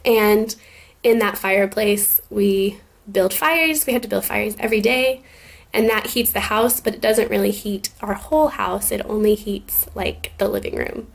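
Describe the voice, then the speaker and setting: A young woman with an American accent, raised in Scotland and Germany, answering questions for an English class in a relaxed, thoughtful way, with frequent ums. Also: mid-range voice, measured pace, gravelly. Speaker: guest answering student questions.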